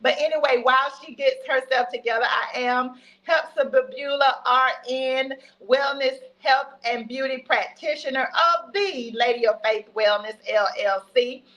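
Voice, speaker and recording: A woman's voice: high voice, normal speed, a bit bright. A woman in her fifties, a registered nurse, chatting about health on a livestream video call in a folksy, upbeat way. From United States. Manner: folksy and upbeat, drops in laughs and Southern colloquialisms. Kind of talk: casual health talk on a livestream.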